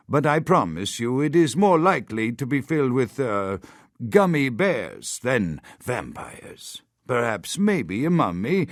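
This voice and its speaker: A man's voice: medium pitch, no echo, clean studio sound, heavily acted. A man playing a nervous, scholarly archaeologist held captive in a video game, given to learned, rambling explanation. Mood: earnest, explaining. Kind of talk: video game character dialogue.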